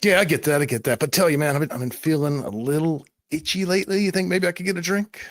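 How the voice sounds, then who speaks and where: A man's voice: fairly high pitch, fast talker, voice pushed up, natural voice. A man voicing an eager, fawning young ghoul henchman with a slangy, wheedling manner in a roleplay game. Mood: eager, pleading.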